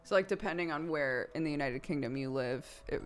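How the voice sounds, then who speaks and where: Chatty woman with a low voice. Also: a little fast, faint music behind. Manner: chatty and rambling, laughs often. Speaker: streamer. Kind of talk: casual chat while playing a video game.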